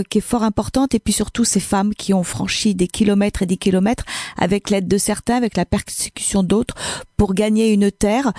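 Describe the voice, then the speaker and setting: A woman's voice: medium pitch, warm tone, dry recording, clean studio sound. A woman hosting a literary radio programme, introducing and interviewing with formal, courteous phrasing.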